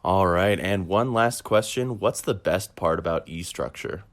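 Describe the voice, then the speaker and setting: A young adult interviewer asking scripted quick-fire questions in a polite, upbeat way. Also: sits low.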